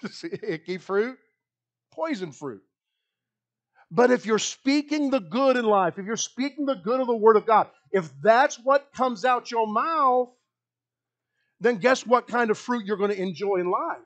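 A middle-aged man with a Kentucky accent preaching a lively, animated sermon in a small church hall on a handheld mic, often repeating himself as he paces the stage. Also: extremely high pitch, unhurried. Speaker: pastor. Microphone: handheld mic, filmed by a far wide camera.

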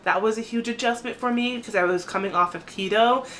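Woman in her 30s vlogging in her kitchen, kinda high voice, chatty, camera a few feet off, faint hiss. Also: fast talker. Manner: chatty, animated, gestures a lot while talking. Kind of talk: casual talk to camera. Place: small home kitchen, indoors. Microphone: camera at a distance.

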